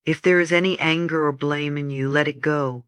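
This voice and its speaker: A man narrating a scripted guided meditation for sleep in a soothing, gentle, instructional manner. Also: fairly high pitch, steady tone, normal speed, smooth, no echo, studio quality.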